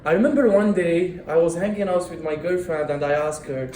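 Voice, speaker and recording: A man's voice: fairly high pitch, brisk, mellow, a little hiss or hum. A man, delivering a prepared speech at a club meeting in an earnest way and often restarting his words. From Morocco. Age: 30s. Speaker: club speaker. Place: meeting room. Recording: echoey room.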